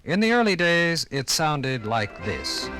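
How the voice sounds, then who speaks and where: A man narrating and explaining as a guide in a scripted 1950s radio program, with deliberate phrasing. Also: on the high side, very animated, over loud music.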